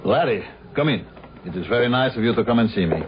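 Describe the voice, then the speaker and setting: An actor playing a bitter, insistent film director with formal, pointed phrasing, in a radio drama. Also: male voice, medium pitch, expressive, fast talker, close to an everyday voice. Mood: wry, suspicious. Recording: dull, hissy radio broadcast recording.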